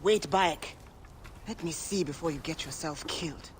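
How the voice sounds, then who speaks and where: An actor voicing a clever, nervous antiquarian and gambler who speaks in a bookish, measured way. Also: male voice, a little high, close to an everyday voice. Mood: fascinated. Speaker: game character. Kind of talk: video game cutscene acting. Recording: game audio with faint ambient noise.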